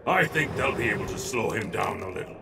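Gravelly warrior king. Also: on the low side, animated, brisk, distant mic, noisy background. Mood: gruff, matter-of-fact. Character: gruff warrior king leading his men. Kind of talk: video game cutscene acting.

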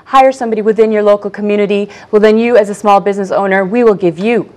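A young woman makes her case in a televised debate. She speaks quickly and with emphasis, repeating key words to drive points home. The sound is clean, from a studio lapel mic. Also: medium pitch, fairly even intonation, darkish tone. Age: young adult. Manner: fast, emphatic, persuasive. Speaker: political candidate. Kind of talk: debate argument.

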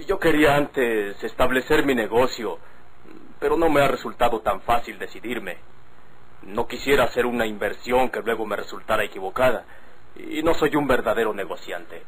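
An actor playing an earnest, cautious wealthy bridegroom in a radio drama. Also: male voice, medium pitch, normal speed, close to an everyday voice, low-quality recording. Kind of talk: golden-age Mexican radio drama acting.

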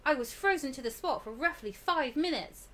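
A woman's voice: sits high, animated, normal speed, a bit bright, a little hiss or hum. A woman voicing the frightened young protagonist of a horror visual novel, dramatic and anxious. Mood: alarmed.

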